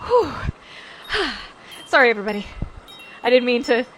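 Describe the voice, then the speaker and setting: A woman's voice: on the high side, big pitch swings, moderate pace, fairly bright tone, a little hiss or hum, rough recording. A woman playing a goofy, childlike, scatterbrained space pilot who rambles in a sci-fi comedy radio drama. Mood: giddy, sheepish. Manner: childlike, rambling, giddy or drowsy.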